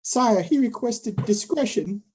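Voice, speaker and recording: Woman doing a scared servant to royalty, high and soft-toned, breathy, hesitant, radio play. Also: animated. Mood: nervous. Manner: hesitant, halting. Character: nervous, deferential royal servant bearing a message. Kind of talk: period audio drama acting.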